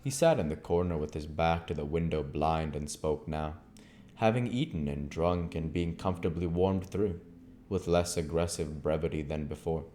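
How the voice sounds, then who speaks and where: A man's voice: rumbling low, normal speed, warm tone, a little hiss or hum. A man narrating a classic novel aloud as an audiobook reader. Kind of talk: storybook narration, read aloud.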